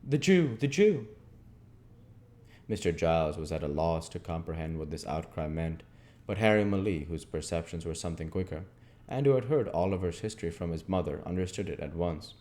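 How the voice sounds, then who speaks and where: A man with a very low, mellow voice narrates classic literature. His reading is expressive, with measured and dramatic phrasing. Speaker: reader and host of an audiobook channel.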